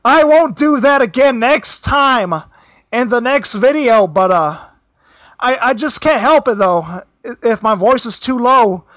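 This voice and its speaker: A man hosting a casual livestream, his voice tired and strained, apologizing often, stumbling over repeated words and pushing his voice louder. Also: extremely high pitch, animated, a little slow, no room sound. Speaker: livestream host. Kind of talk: casual wrestling commentary livestream. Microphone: built-in device mic, no external microphone.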